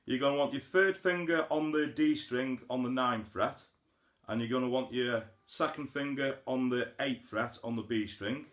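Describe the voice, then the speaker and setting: A man's voice: mid-range voice, normal speed, a bit bright. A man giving a casual, chatty guitar lesson, explaining things informally step by step. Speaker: guitar teacher, host of an online lesson series. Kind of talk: casual spoken guitar tutorial. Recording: roomy sound, guitar behind the voice. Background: acoustic guitar.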